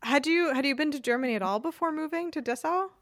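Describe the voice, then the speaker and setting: Higher-voiced woman hosting a chatty podcast. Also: quick pace, no room sound. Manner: enthusiastic, chatty. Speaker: podcast host.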